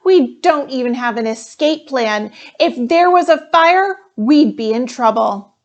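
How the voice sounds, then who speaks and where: A woman's voice: sits high, expressive, unhurried, highs cut off, pitched above the performer's own voice. A woman voicing an anxious, earnest young schoolgirl in a storybook, with pleading emphasis. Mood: urgent, alarmed. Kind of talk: storybook read-aloud.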